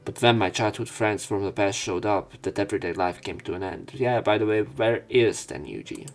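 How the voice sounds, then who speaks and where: A man's voice: lowish voice, normal speed. A man reading visual novel text aloud for a let's play, dropping in casual, sweary asides.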